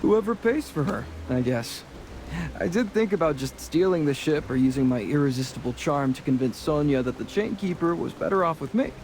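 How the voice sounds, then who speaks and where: A man's voice: a little high, expressive, fairly quick, a little mellow, slight background noise, low-quality recording. A man voicing a roguish, charming, chatty sea adventurer in a fantasy game, with a breezy, self-assured way of talking. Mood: confident, musing. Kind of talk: voiced dialogue in a fantasy game.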